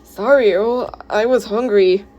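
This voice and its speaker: A woman's voice: medium pitch, a little slow, darkish tone, muffled recording, soft background music, close to an everyday voice. A woman voicing a sullen, argumentative man of the household in a diary story. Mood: apologetic.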